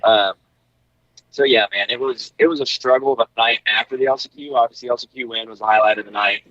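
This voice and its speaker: A young man, a pro racer, telling a race story in a relaxed way with many fillers, heard over a remote call line. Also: medium pitch, fairly quick, no room sound, lo-fi sound. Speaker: guest, pro motocross racer. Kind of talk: casual interview over a call.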